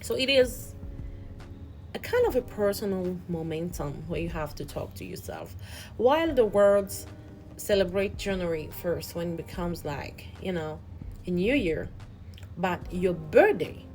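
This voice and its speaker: A woman's voice: on the low side, lively intonation, unhurried, darkish tone, rough recording. A woman hosting a channel, giving an earnest, reflective spiritual motivational talk that often repeats and restarts words. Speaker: host.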